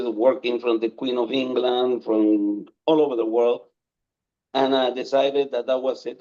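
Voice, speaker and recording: An older man who grew up in Peru speaks very slowly over a video call. His voice is dark and mellow. He hesitates with frequent uhs, and the remote audio sounds dull and muffled. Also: medium pitch. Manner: unhurried, halting, many uhs. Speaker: chef. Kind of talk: casual radio interview guest.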